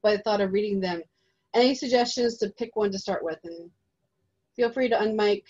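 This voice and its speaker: A woman casually moderating an online session, unscripted, with halting phrasing. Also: mid-range voice, darkish tone. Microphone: video call. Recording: dull, lo-fi online call audio.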